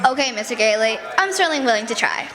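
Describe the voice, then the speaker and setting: Female actor as a high-voiced, prim little girl. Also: animated, quick pace, close to an everyday voice. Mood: matter-of-fact. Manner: matter-of-fact, prim. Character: precocious, polite, sceptical little girl. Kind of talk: live stage radio drama acting. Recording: live stage, audience and music behind.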